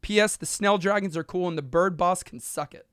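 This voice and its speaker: A man's voice: high-pitched, a little fast, a bit bright, clear, resonant tone, no room sound, clean studio sound. A man co-hosting a podcast, reading listener emails aloud steadily and adding joking asides in casual chat. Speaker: podcast co-host.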